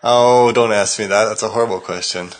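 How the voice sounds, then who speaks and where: A man with an American accent and a fairly low voice, chatting loosely as a podcast host. He hesitates often, filling gaps with "uh" and restarting his sentences. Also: fairly even intonation, a little fast. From California, United States. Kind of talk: casual conversation.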